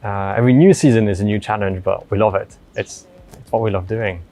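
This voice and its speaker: A man speaking as an interview guest, explaining his work casually in a halting, enthusiastic way with emphatic words. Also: fairly low pitch, steady tone, warm tone. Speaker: lead artist, interview guest.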